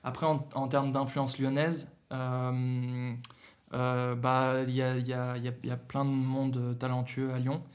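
Hesitant young man, flat delivery. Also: mid-range voice, a little slow. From Germany. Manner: hesitant, many fillers and restarts, relaxed slang. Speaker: guest musician. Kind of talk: casual podcast interview.

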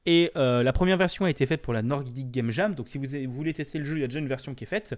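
A man's voice: mid-range voice, quick pace. A man co-hosting a casual video game podcast, joking along in crude slang. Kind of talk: casual gaming chat.

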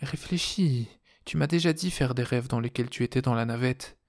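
A man's voice: mid-range voice, moderate pace, close to an everyday voice. An earnest male astronaut in a science fiction audiobook, voiced by a male narrator. He is pleading and insistent, reasoning hard with his crewmate. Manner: reasoning, pressing.

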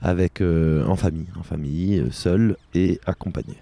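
A young man with a very low, mellow voice co-hosts a relaxed radio chat. He teases his partner, hesitates often and fills pauses with ums. His delivery stays loose and playful. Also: vocal fry. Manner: joking, hesitant with many fillers. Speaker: co-host.

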